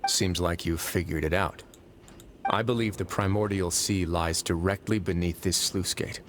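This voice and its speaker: An actor as a calm, low-voiced fortress warden. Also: male voice, very noisy, close to an everyday voice. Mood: grave. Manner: measured, formal, explanatory. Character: calm, authoritative prison fortress warden, self-possessed and wry. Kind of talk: English video game voice acting. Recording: game music playing behind the voice.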